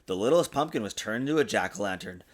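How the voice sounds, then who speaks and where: A man reading a children's storybook aloud in an expressive, sing-song way, as a father does for his young child. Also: on the low side, quick pace. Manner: patiently answers child's interruptions. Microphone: desktop USB microphone.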